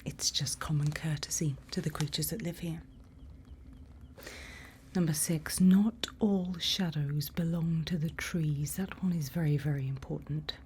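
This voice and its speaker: A woman performing a whispered ASMR roleplay as a calm night-watch guide, breathy, instructive and reassuring, close to the microphone. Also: low-pitched, unhurried. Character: calm female night-watch guide in a dark monster-filled forest. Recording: faint background ambient noise.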